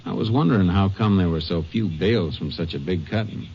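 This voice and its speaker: A calm, probing Texas Ranger with a very deep American voice. He is laconic, in radio crime drama style, on a muffled and slightly noisy vintage recording. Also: fairly quick. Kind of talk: old-time radio crime drama acting.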